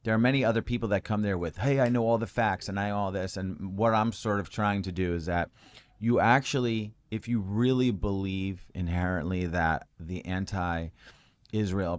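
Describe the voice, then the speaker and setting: A man telling stories as an interview guest, calm and even-toned, with many fillers and frequent laughs. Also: low voice, normal speed. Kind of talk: relaxed conversational storytelling.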